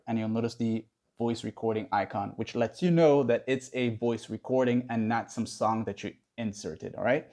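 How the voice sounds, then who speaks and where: A man's voice: mid-range voice, dark tone. A man narrating a casual how-to tutorial in a conversational, instructional way. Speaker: tutorial host.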